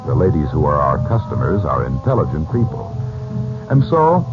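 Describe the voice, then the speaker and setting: A very low-voiced American man delivers formal radio announcing with big, theatrical swings in pitch. It is measured, scripted 1940s work. The recording is muffled, with music clearly behind him.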